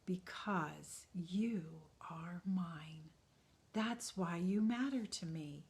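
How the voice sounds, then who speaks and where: A woman voicing a kind, loving bearded woodcarver, speaking softly and tenderly, often near a whisper. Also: on the low side, gravelly, heavily acted. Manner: soft, slow, tender, often hushed.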